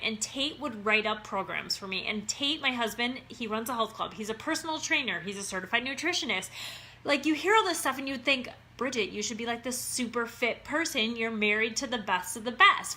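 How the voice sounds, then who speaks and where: A woman in her thirties with an Upper Midwest accent talks casually to her viewers as an online wellness coach. Her voice sits a little high and rings bright and forceful. She stays chatty and upbeat and keeps calling her audience "you guys.". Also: lively intonation, moderate pace. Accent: Upper Midwest American. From Minnesota.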